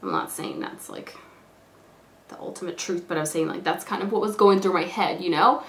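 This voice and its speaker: Hoarse, stuffed-up young woman rambling. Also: on the low side, moderate pace, fairly bright tone, slight background noise. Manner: rambling, filler-heavy, lots of "like" and "you know". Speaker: beauty vlogger. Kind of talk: casual chatty get-ready-with-me talk. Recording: echoey room.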